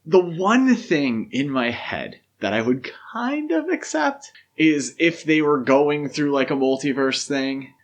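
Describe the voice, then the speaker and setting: A man's voice: a little high, big pitch swings, takes it slowly, few pauses. A man co-hosting a podcast, chatting casually and giving his opinions with emphasis. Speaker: podcast co-host. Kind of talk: casual film discussion chat.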